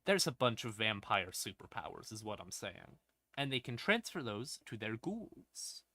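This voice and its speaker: A man hosting a livestream, explaining lore casually and wryly, in halting phrases broken by pauses and emphatic stresses. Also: fairly low pitch, sing-song, bright, forceful tone, dry recording. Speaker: livestream host.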